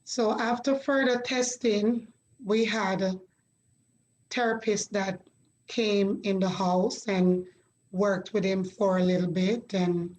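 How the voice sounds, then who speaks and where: Slow-speaking Jamaican-born woman guest. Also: medium pitch, darkish tone, a little hiss or hum. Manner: measured, reflective storytelling with pauses. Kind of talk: conversational interview.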